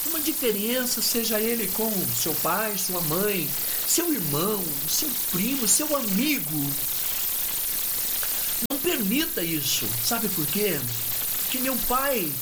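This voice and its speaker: A man's voice: sits high, big pitch swings, takes it slowly. A man in his fifties hosting a lunchtime radio show, speaking heartfelt words to his listeners and making emotional, preacher-like appeals with repeated lists. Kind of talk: heartfelt on-air talk to listeners. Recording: noisy radio broadcast recording.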